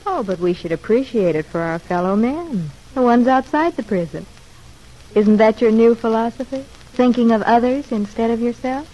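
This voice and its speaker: A woman's voice: fairly high pitch, expressive, moderate pace, mellow, poor audio. A wisecracking woman convict in an old radio serial. She talks in a chatty, slangy way and loves to needle, with a mocking edge to her teasing. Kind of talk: old-time radio drama acting.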